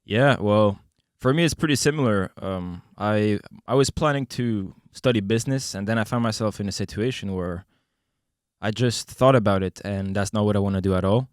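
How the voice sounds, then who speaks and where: A young man hosting a podcast in a relaxed, casual chat, laughing often and pausing on fillers. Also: fairly low pitch, clean tone, no echo, clean studio sound. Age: young adult. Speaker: podcast host. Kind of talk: casual conversation.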